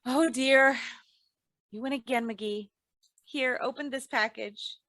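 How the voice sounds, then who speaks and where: Woman playing a bright, high-voiced radio-comedy housewife. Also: slow, no echo, clean studio sound, heavily acted. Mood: indulgent. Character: patient, sensible, gently teasing housewife. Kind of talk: old-time radio comedy acting.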